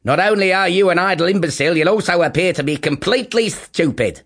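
A man voicing an exasperated mother who scolds in a shrill voice. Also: brisk, bright, forceful tone. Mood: scolding.

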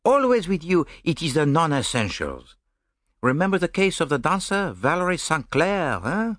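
A man's voice: fairly high pitch, sing-song, normal speed, fairly bright tone, dry recording, clean studio sound, voice pushed up. A man voicing a vain, fussy middle-aged Belgian detective with a Belgian French accent and a smug, theatrical manner. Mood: vain lecturing.

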